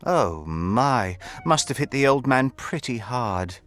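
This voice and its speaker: A scheming aristocratic count, medium in pitch with lively swoops. His speech is formal, measured and insinuating, set over faint game music. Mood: feigned concern. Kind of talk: video game voice acting.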